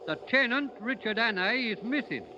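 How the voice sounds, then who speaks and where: An actor playing a chatty train passenger in a 1930s radio drama, familiar and colloquial. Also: male voice, very high voice, expressive, moderate pace. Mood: intent, curious. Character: chatty, plain-spoken London train passenger reading news. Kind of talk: 1930s radio character acting. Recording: noisy, dull old radio broadcast.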